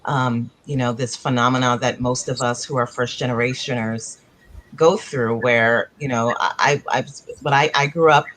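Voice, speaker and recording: A woman's voice: rumbling low, steady tone, moderate pace, fairly bright tone, slight background noise, low-quality recording. A woman playwright born in Africa and raised in the United States, speaking on a video-call panel in a thoughtful, searching way, often circling back to rephrase.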